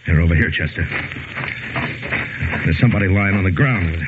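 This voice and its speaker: An actor playing a steady, grave frontier lawman in a 1950s radio western. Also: deep voice, moderate pace, lightly acted. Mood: grave, businesslike. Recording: muffled, tinny 1950s radio recording with hiss.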